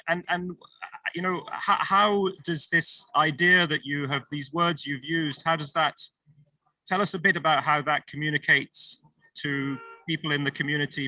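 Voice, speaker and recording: A man's voice: a little high, bright, forceful tone, dry recording, rough recording. A man moderating a panel discussion, courteous and asking long, rambling questions with restarts.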